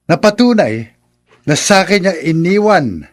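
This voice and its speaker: A greedy illegitimate son in a Filipino radio drama. His high-pitched voice coaxes with false politeness, sly and calculating beneath the deference. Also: big pitch swings, unhurried, no echo, studio quality. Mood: smug, firm. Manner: polite coaxing with stammered repetitions.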